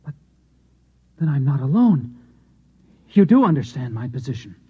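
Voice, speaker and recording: An actor playing an unstable, bewildered Martian trapped in a human body, earnest and pleading, in an old-time radio drama. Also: male voice, mid-range voice, very animated, normal speed, slightly rough. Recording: dull lo-fi old radio recording.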